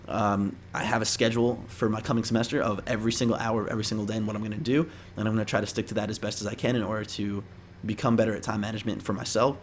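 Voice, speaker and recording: A man's voice: on the low side, a little hiss or hum. A young man chatting casually to viewers as he gives tips, in an informal, rambling, slangy way. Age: young adult. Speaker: vlogger giving interview tips. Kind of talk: casual chat to camera.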